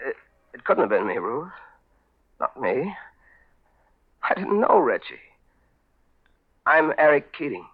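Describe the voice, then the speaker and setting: An actor playing a desperate amnesiac fugitive in a 1940s radio thriller, with an urgent, melodramatic delivery. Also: male voice, fairly high pitch, sing-song, takes it slowly, pauses often, husky, exaggerated voice. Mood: agitated. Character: frantic amnesiac fugitive husband turned killer, narrating his crimes. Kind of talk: 1940s radio thriller acting and first-person narration. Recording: muffled 1940s radio recording with music.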